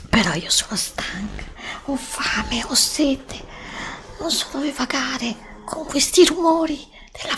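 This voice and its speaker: Woman doing scared tired girl lost in the woods, asmr roleplay, whispery n slow, plaintive, doesnt trust anyone. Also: high voice. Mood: plaintive, wary. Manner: whispered, breathy, plaintive, hesitant with repeated phrases. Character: frightened, weary, hungry chosen heroine lost in a forest, distrustful. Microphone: close desktop USB microphone.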